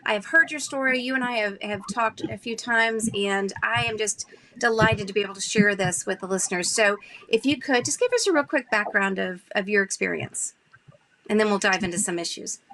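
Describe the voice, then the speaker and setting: A woman's voice: mid-range voice, fairly quick, pushed and bright, poor audio. A woman from Arkansas hosting a podcast with an upbeat, enthusiastic delivery. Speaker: podcast host. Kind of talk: scripted intro and friendly interviewing.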